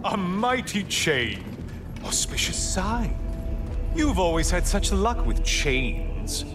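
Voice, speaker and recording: An actor playing a smug, theatrical sun god in a video game, with an oily tone and a sarcastic, taunting drawl. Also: male voice, sits high, very animated, takes it slowly, over music, heavily acted. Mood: gloating. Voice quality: slimy, oily tone.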